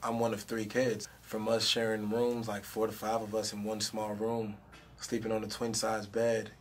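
A man's voice: lowish voice, monotone, normal speed, slight background noise. A young man, a rapper, chatting casually in an interview with a handheld mic in a studio room, laid-back and full of "you know what I mean". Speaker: rapper guest.

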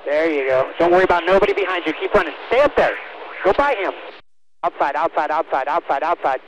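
A man's voice: fairly high pitch, animated, quick pace, highs cut off, noisy background. A man working as a race spotter, speaking in short, repeated commands over a team radio. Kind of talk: urgent radio spotting calls to a driver.

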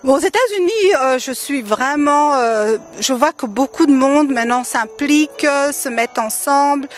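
Hesitant woman with a slightly high voice. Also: animated, unhurried, soft background music. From Democratic Republic of the Congo. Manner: hesitant, many fillers, warm laughs between phrases. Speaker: interview guest, event organizer. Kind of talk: casual interview answers. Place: decorated holiday light display, indoors. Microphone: handheld mic held by interviewer.

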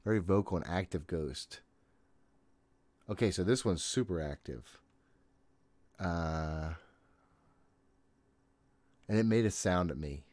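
A man's voice: low voice, slow, long pauses, a little mellow, no echo. A man in his thirties giving casual, jittery and exasperated commentary while streaming a horror game from a room at home. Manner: jittery, exasperated self-talk; frequent swearing. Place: small home room, indoors. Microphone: desk microphone, headset worn.

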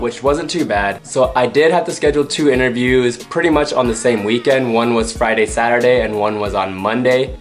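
A young man in his twenties with a slightly hoarse voice, talking casually to camera at home and giving friendly advice. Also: medium pitch. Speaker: vlogger. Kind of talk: casual vlog talk to camera. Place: small room at home, indoors.

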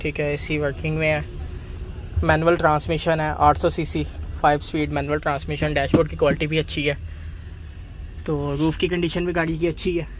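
A man walking viewers around used cars at an outdoor bazaar, listing their features in a steady, repetitive way. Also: a little high, fairly quick, low-quality recording. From Pakistan. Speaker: car market video host. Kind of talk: walkaround car review for viewers. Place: outdoor car bazaar, dusty lot. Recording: outdoor market noise behind.

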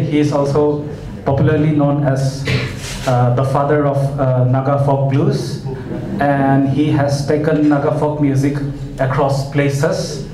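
A young man introducing a guest at a small event, hesitant with many fillers. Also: mid-range voice. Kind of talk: stage introduction. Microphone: stand mic. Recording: echoey room with audience.

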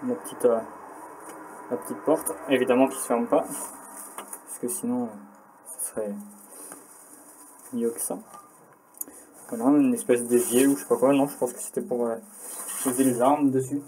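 A man's voice: medium pitch, little pitch movement, normal speed, pauses often, dark tone, low-quality recording. A young man casually narrating his cycling trip to a handheld camera, chatty and self-mocking with many fillers, a bit tired. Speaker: cycling vlogger. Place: outdoors in a village and a forest, inside a small bunker. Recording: outdoor camera audio with clear ambient noise.

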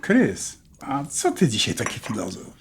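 A man's voice: medium pitch, measured pace. An actor playing a defensive, teasing middle-aged father in a naturalistic audio drama. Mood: needling. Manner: animated, self-justifying. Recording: faint noise with tableware clinks.

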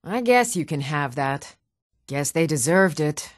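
A woman's voice: sits low, expressive, measured pace, no room sound, clean studio sound. A woman playing a stern, challenging Nord townswoman ready for a fight in a fantasy video game. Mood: resigned. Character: fierce, even-tempered Nord townswoman warrior, commoner in a fantasy world. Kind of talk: fantasy video game combat and reaction lines.

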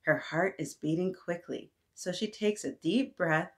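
A woman in her thirties reading aloud to young children, animated and encouraging, often addressing them directly with questions. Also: fairly low pitch, unhurried. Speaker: children's story-time host. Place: small decorated indoor room.

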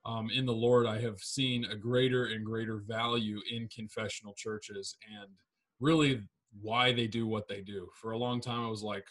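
A man's voice: fairly low pitch, steady tone, moderate pace, fairly bright tone. A man in his thirties hosting a podcast from a home study, talking in a friendly, upbeat way with a few fillers. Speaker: podcast host. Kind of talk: casual podcast chat. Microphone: large podcast mic on stand.

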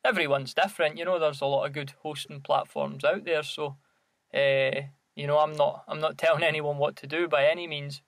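Man with a higher-pitched voice. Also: fairly even intonation, fairly quick, gravelly, fairly bright tone, dry recording. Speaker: podcast co-host. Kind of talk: casual podcast chat.

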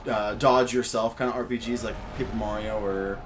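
A man's voice: fairly low pitch, fairly even intonation, normal speed. A young man bantering casually as he watches a friend play a video game and comments along. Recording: noisy, game ambience behind voice.

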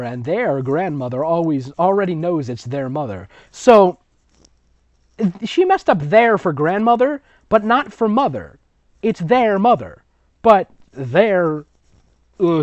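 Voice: medium pitch, a little mellow, no echo, muffled recording. A theatrical reader narrating fan fiction in a comic, mocking way, with sarcastic asides.

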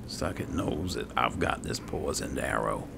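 A man's voice: rumbling low, expressive, normal speed. A man muttering to himself in casual commentary as he plays and roleplays a fantasy game. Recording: clear background noise, game ambience.

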